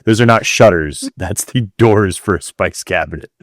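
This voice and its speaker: A man's voice: lowish voice, moderate pace, no room sound, studio quality. A man co-hosting a podcast, chatting casually in loose, slangy, informal phrasing. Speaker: podcast co-host. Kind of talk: casual chat.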